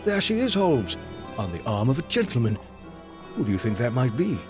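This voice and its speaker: Guy acting as earnest victorian gent, loyal sidekick type, super expressive up and down delivery, audio drama. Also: mid-range voice, moderate pace, over loud music, lightly acted. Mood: curious, concerned. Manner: formal, expressive storytelling diction.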